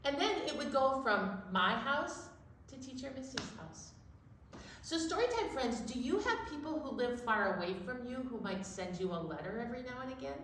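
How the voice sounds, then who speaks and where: A woman with a fairly high voice chats to young children as a storytime co-host. She explains simply and encouragingly, a little away from the mic in a very echoey room. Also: a bit bright. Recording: very echoey room, distant mic, faint noise.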